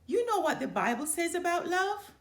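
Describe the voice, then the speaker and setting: A woman voicing a moralizing father bear in a storybook, admonishing his cubs in a preachy, deliberate way. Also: very high-pitched, big pitch swings, a bit bright, roomy sound, voice pushed up, full character voice.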